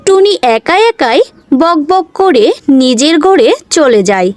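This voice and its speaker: A woman's voice: high-pitched, very animated, a little slow, a little mellow, no room sound. A woman narrating a cartoon story in a scripted storytelling style.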